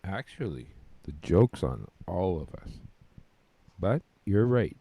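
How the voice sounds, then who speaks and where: Man acting a dark, gravelly, droll philosopher. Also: deep voice, very animated, slow pace, no room sound, exaggerated voice. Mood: wry amusement. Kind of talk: hobby sci-fi audio drama acting.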